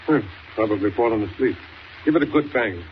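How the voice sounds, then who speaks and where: An actor playing a blustering, stubborn middle-aged governor in a 1940s radio serial. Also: male voice, fairly low pitch, lively intonation, brisk, close to an everyday voice. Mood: puzzled. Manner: blustering, exclamatory. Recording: noisy, dull old radio transcription.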